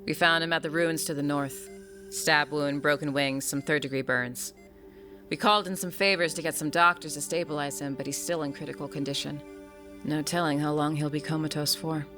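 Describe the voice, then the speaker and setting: An actor playing a sober investigator delivering a report in a fantasy audio drama, measured and matter-of-fact. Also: male voice, on the high side, fairly even intonation, sharp tone, soft background music. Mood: grave.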